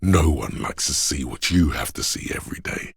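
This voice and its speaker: Super deep husky paranoid inner voice for a video game, slow n ominous, like raw fear and menace talking. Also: male voice, animated, dry recording, studio quality, full character voice. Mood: menacing, fearful. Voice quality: gravelly, husky, breathy. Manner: slow, ominous, intense delivery. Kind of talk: dramatic video game voice acting.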